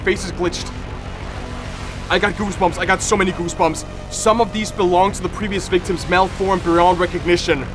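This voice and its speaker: A man with a high-pitched voice streams his reaction to horror videos. He reads aloud and comments in a dramatic way, repeating phrases over and over. Music plays clearly behind him. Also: steady tone, moderate pace. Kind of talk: live reaction commentary, reading on-screen text aloud.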